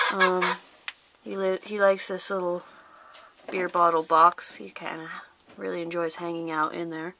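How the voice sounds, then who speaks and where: An unhurried young woman chats through a tour of her small farm and coos at her chickens and rabbits. She talks close to a handheld camera outdoors, with a clearly audible noise bed and hens clucking behind her. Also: medium pitch. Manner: chatty, talks baby-talk to her animals. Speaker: small farm owner showing her animals. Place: outdoor coop and rabbit hutches. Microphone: handheld camera held close. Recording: noisy outdoor camera audio. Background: clucking chickens and birds.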